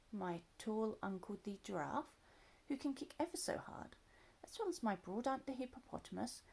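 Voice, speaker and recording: Woman voicing a high, eager, very polite elephant boy for a storybook, husky edge. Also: darkish tone, full character voice. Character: young polite curious elephant calf, male.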